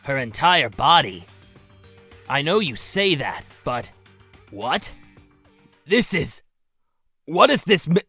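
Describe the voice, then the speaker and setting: A man voicing a solemn, gentle, massive teenage girl fighter in an anime fan dub, speaking gravely and formally. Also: fairly high pitch, very animated, slow pace, long pauses, soft background music, heavily acted. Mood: shaken.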